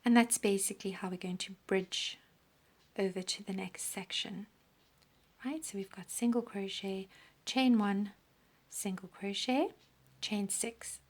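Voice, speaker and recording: A woman teaching a crochet pattern step by step, counting stitches aloud, her voice croaky and often dropping into a breathy half-whisper. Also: medium pitch, steady tone, unhurried. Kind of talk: step-by-step craft tutorial.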